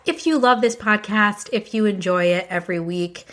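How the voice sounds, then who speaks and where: A woman's voice: mid-range voice, normal speed, fairly bright tone. A woman hosting her own podcast, talking in a chatty, encouraging way while she has a cold. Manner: chatty, encouraging, speaks straight to the listener. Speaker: podcast host. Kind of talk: casual solo podcast talk.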